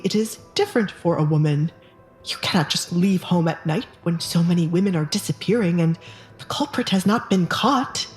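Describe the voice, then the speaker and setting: A woman's voice: on the low side, reverberant, natural voice. A woman playing a weary, irritable middle-aged husband with secrets, grumbling dismissively in an audio drama.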